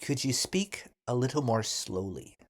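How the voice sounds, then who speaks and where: A man teaching an English lesson, speaking deliberately and enunciating carefully as he gives model phrases for learners to repeat. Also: mid-range voice, very animated.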